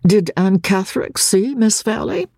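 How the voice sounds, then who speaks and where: A woman voicing a courteous, insistent gentleman baronet in formal, persuasive speech for a dramatic audiobook. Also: mid-range voice, expressive, a little slow, no room sound, clean studio sound, voice pushed up. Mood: probing, polite. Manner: formal, elaborately polite, persuasive.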